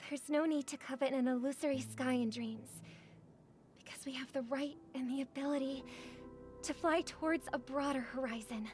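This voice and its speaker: An actress voicing a poised young woman singer in a story game. She speaks high and soft, composed and earnest. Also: steady tone, moderate pace, soft background music, lightly acted. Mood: calm. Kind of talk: game voice acting.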